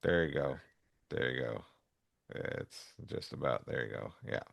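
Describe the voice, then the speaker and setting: A man co-hosting a casual chat, speaking haltingly as he mulls things over. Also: very deep voice, lively intonation, gravelly, a bit bright, no room sound, studio quality.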